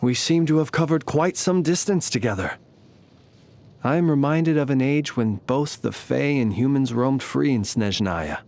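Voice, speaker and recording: A man voicing a calm, wry, ancient fae lantern keeper for a video game, speaking in formal, measured, theatrical phrases. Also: medium pitch, vocal fry. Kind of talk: scripted video game character voice lines.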